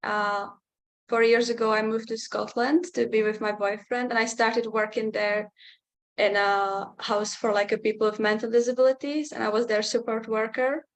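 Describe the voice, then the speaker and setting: A woman's voice: fairly high pitch, little pitch movement, moderate pace. A young woman, giving a casual testimonial over a video call, talking hesitantly with lots of filler words. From Czech Republic. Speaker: interview guest, client.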